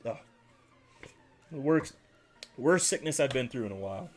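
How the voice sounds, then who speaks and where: A man's voice: mid-range voice, animated, moderate pace, a little mellow, slight background noise. A man hosting a casual livestream, chatting with viewers in a rambling way and correcting himself as he goes, a little congested and nasal. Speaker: card-collecting livestream host.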